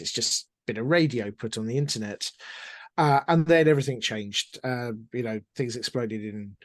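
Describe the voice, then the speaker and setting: Middle-aged man, mid-pitched, wry and chatty interviewee, very dry close sound. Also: no room sound. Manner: wry, self-deprecating, chatty. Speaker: interview guest, audio drama producer. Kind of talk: casual interview storytelling.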